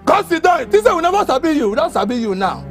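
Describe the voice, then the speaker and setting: A man's voice: very high voice, very animated, fast, faint music behind, full character voice. A man acting a brash, mouthy tenant in a Nigerian Pidgin comedy drama, ranting and taunting with a Nigerian accent. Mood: angry, mocking. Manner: loud, taunting, repetitive ranting. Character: brash, mouthy male tenant, drinking and defiant.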